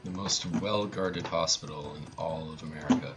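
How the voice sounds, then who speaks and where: Low-voiced male speedrunner, halting, offhand live commentary with dry humour. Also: fairly even intonation, over loud music. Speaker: speedrunner commentating own run. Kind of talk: casual live gameplay commentary.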